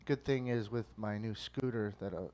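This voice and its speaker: A man's voice: lowish voice, moderate pace, a little mellow. A man who is the show's executive producer, chatting in a mild, matter-of-fact way. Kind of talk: casual chat.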